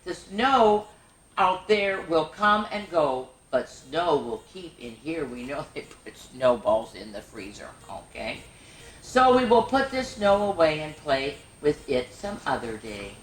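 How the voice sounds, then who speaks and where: A woman hosting a children's story time, reading picture books aloud in an animated way with chatty, exclaiming asides. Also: low voice, a little slow. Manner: animated, repeats phrases for emphasis, exclaims. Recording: roomy, echoing room sound.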